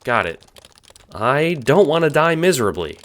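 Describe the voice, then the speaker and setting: A man acting in an audio drama as a casual, wry young hero and chatty narrator, with an expressive delivery. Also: mid-range voice, moderate pace, noisy background, lightly acted. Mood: uneasy, joking.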